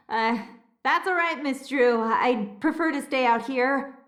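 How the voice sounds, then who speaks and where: A polite, uneasy woman attendant in a game. She speaks slowly and formally in a high, hesitant voice, heard in an echoing room. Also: a bit bright. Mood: nervous, polite. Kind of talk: video game voice acting. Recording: roomy echo.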